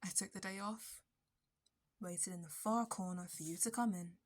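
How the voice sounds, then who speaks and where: A woman performs a lovesick, possessive female barista turned kidnapper for an ASMR roleplay. Her medium-pitched voice is intimate and breathy, often sinking toward a whisper. She sounds wounded and unhinged, with bitterness simmering under the softness. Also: moderate pace, close to an everyday voice. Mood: frantic, possessive. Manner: intimate, breathy dropping into whispers, nervous laughs and sudden bitter flares.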